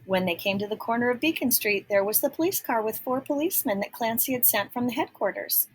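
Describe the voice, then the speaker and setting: A woman reading a story aloud to young children, pausing to explain words and ask questions. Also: fairly high pitch, lively intonation, husky. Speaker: picture book reader.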